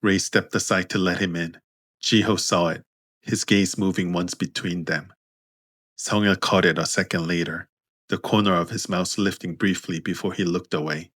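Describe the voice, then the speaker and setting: A man narrating scripted fiction as an audiobook, in a measured, understated manner. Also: low voice, steady tone, a bit bright, breathy edge, dry recording. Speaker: author and narrator.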